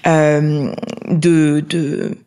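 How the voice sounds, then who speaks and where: A woman's voice: low voice, little pitch movement, drawn-out words, mellow, clear, resonant tone. A woman, carefully explaining terms from her notes in a conversation. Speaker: co-host. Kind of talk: explanatory chat.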